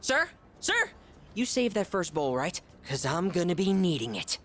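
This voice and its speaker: Pompous, gluttonous schoolboy with wild pitch swings. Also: male voice, sing-song, moderate pace, a bit bright, soft background music. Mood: bossy, boastful. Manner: bombastic, dramatic swings between squeals and low asides. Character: gluttonous, pompous, theatrical male high-school student, self-styled gourmet. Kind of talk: video game voice acting.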